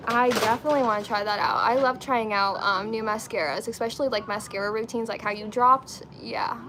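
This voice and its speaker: A woman's voice: fairly high pitch, steady tone, fairly quick, background noise. A woman in her late twenties chatting casually and informally to viewers on a get-ready-with-me livestream, using slangy language. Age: twenty-nine. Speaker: beauty and lifestyle live streamer.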